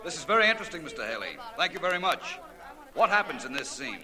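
An actor playing a suave, probing private detective in a 1940s radio drama, speaking in a polished, wry, formal and deliberate way. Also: male voice, on the high side, big pitch swings, crowd noise. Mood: polite, curious. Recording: noisy 1940s radio broadcast recording.

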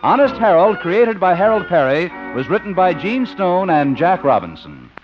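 A man's voice: high-pitched, big pitch swings, moderate pace, over music. An American man announcing on 1950s network radio in a formal, polished, emphatic scripted style. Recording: dull vintage radio broadcast recording.

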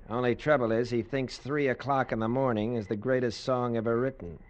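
A man's voice: mid-range voice, steady tone, normal speed, natural voice. Jaded expatriate American, male actor: sardonic slang, middle-range voice, muffled old radio drama sound. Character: jaded, grumbling American expatriate plantation man. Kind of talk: 1940s-50s radio drama acting.

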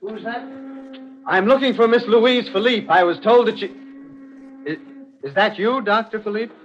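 A man's voice: high-pitched, moderate pace, lightly acted. A man playing an anxious, urgent suitor in 1940s radio mystery style, flustered and exclamatory. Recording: muffled, noisy old radio recording.

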